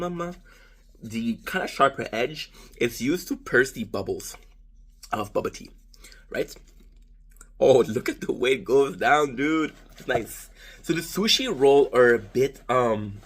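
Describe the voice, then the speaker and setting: A man's voice: medium pitch, fairly bright tone, husky. A man in his thirties from Canada with a French Canadian accent, chatting casually and rambling through a food-tasting vlog with profanity and self-deprecating jokes. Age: 30s. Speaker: vlogger.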